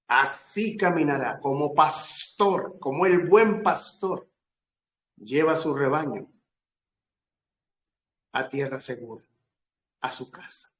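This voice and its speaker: A man preacher leading a fervent, solemn prayer in emphatic short phrases with long pauses. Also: on the high side, slight background noise. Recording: echoey room.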